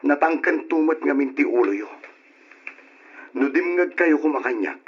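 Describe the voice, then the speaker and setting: Stern fed-up dad in old radio drama, scolding, rough low voice, dull recording. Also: male voice, lively intonation, moderate pace. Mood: exasperated scolding. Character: stern, exasperated family father burdened by money worries.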